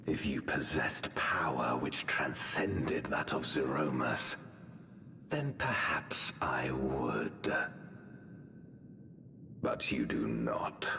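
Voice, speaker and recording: A man playing a grave, remorseful fallen villain in a video game, with a very deep gravelly voice and solemn, archaic, deliberate delivery. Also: sing-song, a little hiss or hum, heavily acted. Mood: disdainful. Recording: roomy echo, loud music underneath.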